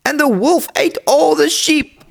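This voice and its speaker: A man's voice: unhurried, creaky, heavily acted. A man voicing a naughty little shepherd boy from a children's storybook, in an exclaiming, exaggerated childlike way. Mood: sad complaining. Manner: high-pitched, exclaiming, exaggerated childlike delivery.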